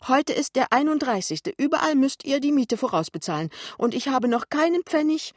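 A woman's voice: high voice, lively intonation, brisk, no echo, clean studio sound, pitched above the performer's own voice. A woman voicing a tipsy, tearful, indignant middle-aged mother in an audiobook novel, whining and insistent. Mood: angry demanding. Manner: whiny, complaining, insistent.

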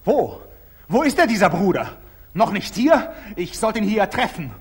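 An actor playing a proud, impetuous young knight with a husky voice, intense and abrupt, in a 1940s German radio play. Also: male voice, high-pitched, very animated, moderate pace, full character voice. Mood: impatient. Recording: old 1940s radio drama recording.

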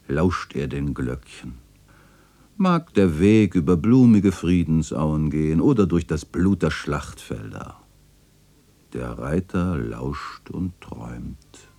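An actor playing a melancholy old chronicler in a literary radio play, narrating in a wistful, theatrical and solemn way. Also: male voice, very deep voice, mellow, heavily acted. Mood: solemn, declamatory. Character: melancholy old male chronicler remembering his neighbourhood and its dead.

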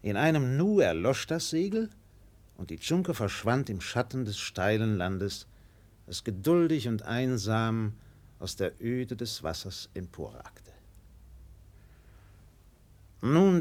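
Guy narrating a radio drama, solemn and slow, medium pitch, dramatic pauses. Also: sing-song, a little mellow.

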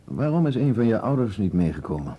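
A middle-aged man acting in a radio drama as a police commissioner, questioning in a measured, insistent, dry manner. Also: fairly low pitch, very animated, warm tone, slightly rough. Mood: pressing. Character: male police commissioner, probing, composed, pressing interrogator. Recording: dull radio play recording.

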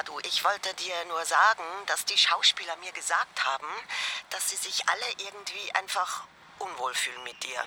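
Chatty dramaturge lady, lots of vocal fry, halting and tactful, bit of background noise. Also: fairly low pitch, pushed and bright, full character voice. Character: chatty, conciliatory female dramaturge, tactful but awkward.

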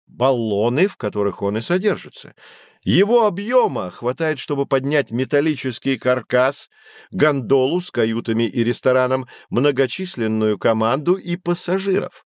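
An actor playing a knowledgeable man who guides children through an educational audio drama, explaining things in a clear didactic way. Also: medium pitch, lively intonation, moderate pace, no room sound, clean studio sound, natural voice. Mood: patient, explanatory. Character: knowledgeable male teacher guiding children on adventures. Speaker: guide explaining history and technology.

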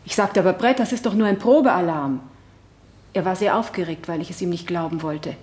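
A woman's voice: lowish voice, brisk, a little mellow, roomy sound, natural voice. An actress playing the earnest wife of an accused man, giving simple, sincere testimony in a German radio drama. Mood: earnest recounting.

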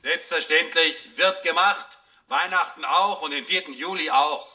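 A cheesy jovial tv host in a sci-fi radio drama, high super bright voice, patronizing. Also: male voice, measured pace. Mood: amused, mocking. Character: jolly, oblivious television show host of the future. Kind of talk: showman banter in science-fiction radio drama.

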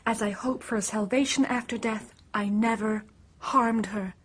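An anxious young suspect in a radio drama. Her voice is fairly high and a touch husky, with an earnest, agitated way of speaking. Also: fairly even intonation, moderate pace. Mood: distressed, earnest.

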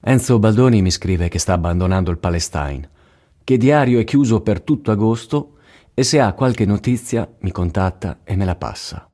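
An actor playing a weary male war correspondent, giving a grave, measured radio theatre reading. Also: lowish voice, expressive, warm tone. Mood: weary, anxious.

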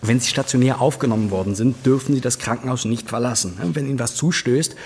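An actor playing an officious hospital staffer in a radio drama, insistent, bureaucratic and somewhat patronising about rules. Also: male voice, medium pitch, fast, a little mellow. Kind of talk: German radio drama acting. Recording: long reverberant hall, clear background noise.

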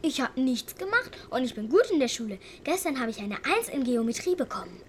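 A female performer playing a bright, stubborn schoolgirl in a radio drama, direct and full of childlike questions. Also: sits high, big pitch swings, a little fast, slight background noise. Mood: cheeky, insistent. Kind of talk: naturalistic radio drama dialogue.

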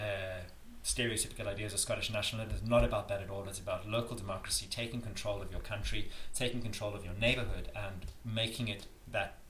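A man's voice: fairly low pitch, fairly bright tone. A man with a South African accent, answering interview questions earnestly and emphatically, often restarting his sentences. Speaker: interviewee, campaigner. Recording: echoey room with faint noise.

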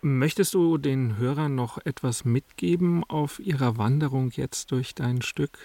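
A man's voice: medium pitch, measured pace, creaky, dark tone, no room sound. A man asking questions as a radio interviewer.

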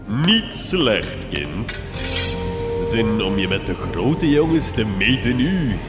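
Slow, swooping Flemish supervillain. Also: male voice, fairly low pitch, very animated. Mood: taunting. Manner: menacing, theatrical, drawn-out. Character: grandiose male villain boasting of godlike immortal power. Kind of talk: gothic horror comedy radio drama. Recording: echoey, loud music over the voice.

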